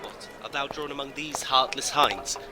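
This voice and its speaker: A man's voice: medium pitch, moderate pace, a loud crowd, close to an everyday voice. A man playing a menacing, hot-tempered young nobleman in a Shakespearean audio drama. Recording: loud outdoor ambience behind.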